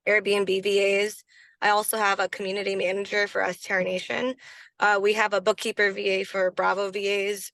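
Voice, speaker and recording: A woman with a bright, forceful voice recorded dry and close. She talks casually on a panel and runs quickly through a list. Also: mid-range voice, no echo.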